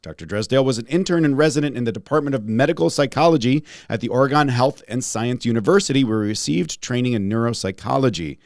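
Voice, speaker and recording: A man hosting a podcast in a casual, jokey, self-deprecating way, his voice slightly raspy and congested. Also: medium pitch, expressive, fast talker, few pauses, no echo, studio quality. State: congested from a respiratory illness. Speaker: podcast host, holistic pharmacist.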